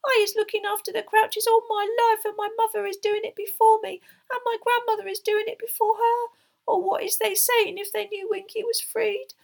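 A woman voicing a small, indignant female house elf servant for a storybook, squeaky and shrill, speaking in servile broken grammar. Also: level tone, fairly quick, gravelly, a little mellow. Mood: wailing, distraught. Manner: wailing, broken servile grammar, third-person speech.

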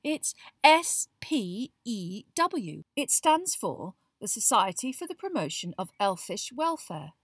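A woman reader puts on an earnest, bossy schoolgirl from a storybook. She lectures briskly and insistently, with very animated pitch. The sound is dry. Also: dry recording. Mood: brisk, keen. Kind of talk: acted audiobook dialogue.

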